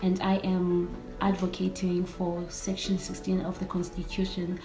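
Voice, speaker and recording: A young woman in her late teens with a South African accent, talking casually as a vlogger. Her voice sits a little low and stays fairly flat in melody, though she repeats phrases for emphasis. The room is clearly echoey, with music playing underneath. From South Africa, Cape Town. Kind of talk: casual get-to-know-me vlog chat. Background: background music.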